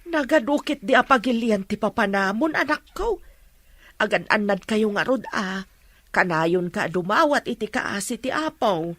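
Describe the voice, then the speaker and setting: A woman's voice: medium pitch, expressive, moderate pace, fairly bright tone, dry recording. A woman with an Ilocano accent playing a worried, loving mother of a grown son in a radio drama. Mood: worried, tender.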